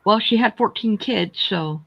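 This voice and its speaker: A talkative woman in her sixties on a casual livestream, telling long family stories and calling out to viewers. Her audio is dry and a little muffled, as if it came through lo-fi gear. Her pitch is middling. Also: unhurried, vocal fry, no echo. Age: 60s. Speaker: livestream co-host. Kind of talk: casual chat.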